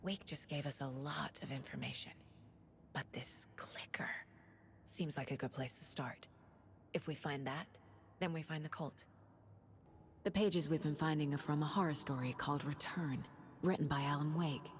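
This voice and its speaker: A female investigator voicing her thoughts in a video game. Her voice is low and measured, with a dry, analytical, musing manner over faint background noise. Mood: pensive, wry. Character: female investigator's hushed inner voice, wry, analytical. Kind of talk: video game inner monologue.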